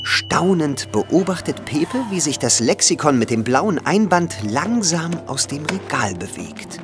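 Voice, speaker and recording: A man's voice: fairly high pitch, big pitch swings, unhurried. A man narrating a scripted children's audio play. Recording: music under the voice. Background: music.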